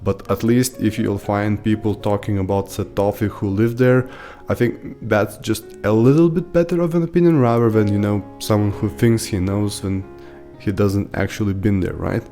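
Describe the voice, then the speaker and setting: A man's voice: fairly low pitch, fairly even intonation, normal speed, warm tone, soft background music. A man in his thirties with Lithuanian as his first language, giving rambling, rant-style advice while showing his screen from a small home room. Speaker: YouTube host, team lead. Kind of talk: casual screen-share tutorial.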